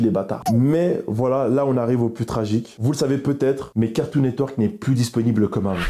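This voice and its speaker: A man's voice: mid-range voice, dark tone. A man in his early twenties narrating in a chatty, informal video-essay style, often addressing viewers directly. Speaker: video creator narrating cartoon history. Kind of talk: casual YouTube narration mixing script and asides.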